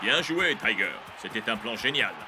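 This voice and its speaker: A man's voice: lowish voice, animated, moderate pace, music in the background, natural voice. A man playing a stern, authoritative cartoon football coach with a firm, commanding manner. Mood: stern, dry. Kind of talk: animated cartoon dub. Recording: clear background noise and ambience.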